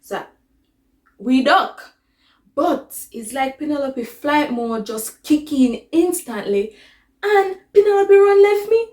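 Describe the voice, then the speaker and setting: A woman's voice: high voice, expressive, takes it slowly, a little mellow, roomy sound. A woman with a Jamaican accent telling a personal story in a casual, dramatic way, slipping into patois. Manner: dramatic retelling that slips into patois.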